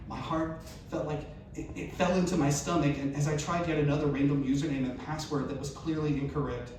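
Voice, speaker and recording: A man reading a humorous first-person story aloud to an audience, acting out its questions and dialogue as he goes. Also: on the high side. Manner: performed delivery, acting out dialogue and self-questions. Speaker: reader at a live storytelling event. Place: live event venue. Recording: echoey, noisy room recorded from far off.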